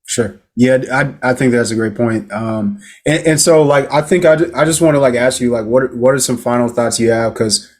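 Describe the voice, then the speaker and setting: A man's voice: medium pitch, moderate pace, few pauses, a little mellow. A man hosting a casual interview, asking questions hesitantly and searching for words with many fillers. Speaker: interview host.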